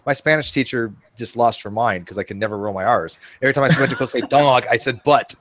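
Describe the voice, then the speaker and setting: A man's voice: mid-range voice, quick pace, fairly bright tone, a little hiss or hum. A man hosting a casual video call chat, rambling and joking at his own expense with lots of restarts. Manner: self-deprecating, rambling with restarts. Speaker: host.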